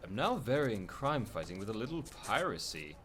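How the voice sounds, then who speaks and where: Guy doing a moody superhero vigilante, low voice, super melodramatic swoops, radio play, bit far from the mic. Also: very animated, normal speed, fairly bright tone, slight background noise. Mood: wry, sarcastic. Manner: clipped, melodramatic, pun-loving. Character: brooding, theatrical male masked vigilante crime fighter. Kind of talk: radio drama monologue and dialogue.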